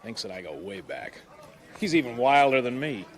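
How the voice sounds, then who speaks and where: An actor playing a cocky, roguish smuggler pilot, brash and wisecracking, in a space-opera audio drama. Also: male voice, medium pitch, animated, normal speed, lightly acted. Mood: reassuring.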